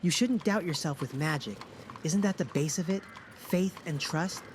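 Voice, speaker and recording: An actor playing an easygoing, encouraging young male friend in a fantasy audio drama, speaking gently and reassuringly. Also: medium pitch, moderate pace, a little hiss or hum, close to an everyday voice.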